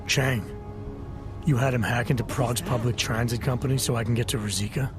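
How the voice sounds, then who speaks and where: An actor playing a stoic, terse augmented task force agent in a video game, with a deadpan, clipped delivery. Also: male voice, mid-range voice, brisk, music in the background, natural voice. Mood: questioning. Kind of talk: video game cutscene acting.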